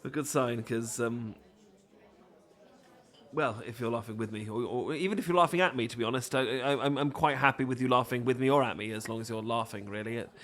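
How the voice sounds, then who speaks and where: A man's voice: mid-range voice, fairly quick, fairly bright tone, lightly acted. A man with a British accent playing a nervous, apologetic, bookish romantic lead in an audio roleplay, stammering and rambling hesitantly. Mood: shy, self-deprecating.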